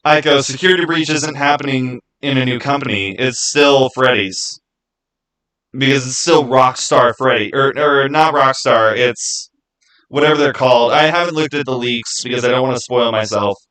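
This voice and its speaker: A man with a bright, forward voice hosts a casual livestream. He rambles and thinks aloud, speaking to viewers by name in a chatty way. His pitch sits in an ordinary middle range. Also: moderate pace, rough recording. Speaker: livestream host. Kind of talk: casual livestream chat, answering viewer comments.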